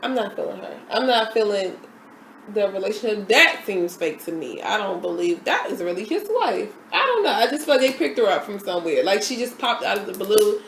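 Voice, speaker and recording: A woman's voice: medium pitch, sing-song, a little fast, gravelly, fairly bright tone. A woman co-hosting a casual TV recap chat, giving emphatic, opinionated takes. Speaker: co-host. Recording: echoey room with faint noise.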